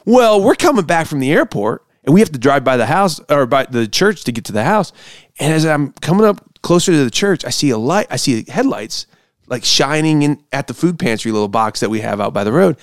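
A man, a pastor and show host, chatting casually and telling stories in an animated way, acting out quotes. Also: on the high side, fairly quick, no room sound, studio quality. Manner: animated, acts out quotes mockingly. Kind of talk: casual chat and storytelling.

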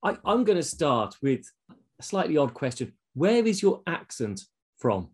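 A man's voice: fairly high pitch, sing-song, measured pace, a little mellow, husky. A man hosting a podcast interview, asking friendly, conversational questions. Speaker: podcast host.

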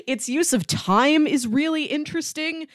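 A woman's voice: high voice, moderate pace, no room sound, clean studio sound. A woman co-hosting a podcast, casually discussing films in an enthusiastic, analytical way with frequent emphasis. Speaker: podcast co-host.

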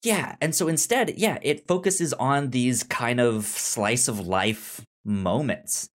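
A man co-hosting a podcast in casual discussion, halting and often stammering over repeated words. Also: mid-range voice. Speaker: podcast co-host reviewing a TV series.

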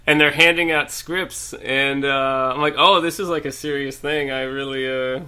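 Male podcast guest, bright tone, rambling casual chatter, slight lingering cough. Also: medium pitch, steady tone, normal speed, clear, resonant tone. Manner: rambling, lots of fillers and restarts. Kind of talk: casual chat.

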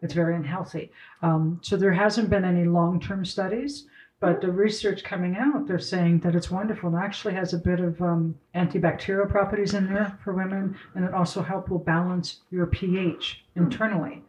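A woman's voice: fairly low pitch, fairly even intonation, moderate pace, a little mellow, dull audio, slight background noise. A woman, a shop co-owner on a podcast, explaining in a chatty, informative and playful way. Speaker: sex shop co-owner, guest. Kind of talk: casual podcast chat.

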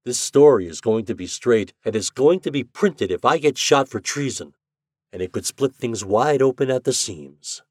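A man voicing a determined male propagandist writer who talks in a forceful, snapping way. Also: medium pitch, expressive, moderate pace, dry recording, studio quality, voice pushed up, lightly acted.

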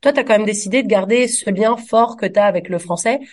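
Woman radio interviewer, friendly and chatty, average pitch. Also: a little fast, a little mellow. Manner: friendly, conversational questions. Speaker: radio host.

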